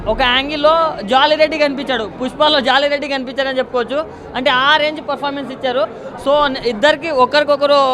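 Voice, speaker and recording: A man giving an effusive, emphatic public reaction to camera, often repeating himself for stress. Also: very high-pitched. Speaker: moviegoer giving a film reaction.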